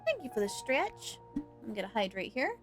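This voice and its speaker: A woman from the United States, streaming a game and chatting casually, full of "like" and quick asides. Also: on the high side, very animated, soft background music. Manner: chatty, with many "like"s. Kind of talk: casual chat while playing.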